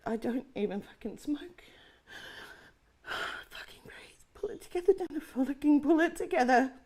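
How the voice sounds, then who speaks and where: A woman's voice: high-pitched, darkish tone, breathy edge, exaggerated voice. An actress in her forties playing a profane, panicky woman who scolds herself and talks herself through her fear, short of breath. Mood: panicked. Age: 40s. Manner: swears heavily, talks to herself. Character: frazzled forty-year-old woman, profane, self-scolding. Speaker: adult content creator. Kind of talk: dramatic monologue.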